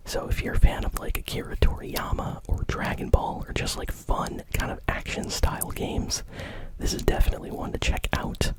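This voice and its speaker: A man whispering casual, conversational ASMR gaming news commentary in a breathy, unvoiced voice. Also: normal speed, rough recording.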